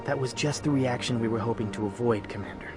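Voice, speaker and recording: Man acting as diplomat, polished, wordy, deferential, mid pitch, game dialogue, faint music. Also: quick pace, close to an everyday voice. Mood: measured, apologetic.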